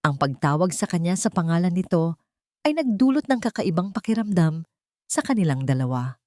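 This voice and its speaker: Woman narrating romance drama, slightly low voice. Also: big pitch swings, moderate pace, warm tone, no room sound, studio quality. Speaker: story narrator.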